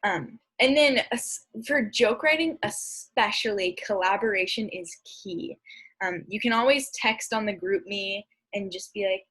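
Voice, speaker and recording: Slightly husky young woman, casual presenter. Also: mid-range voice, unhurried, fairly bright tone, poor audio. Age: young adult. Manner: casual, conversational. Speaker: speech and debate presenter. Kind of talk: informal instructional slide presentation. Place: indoor room at home, on a video recording.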